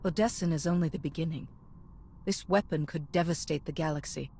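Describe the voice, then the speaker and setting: A man's voice: fairly high pitch, fairly quick, creaky, a little mellow, slight background noise. A man playing a determined, earnest commander in a dramatic sci-fi video game. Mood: grave.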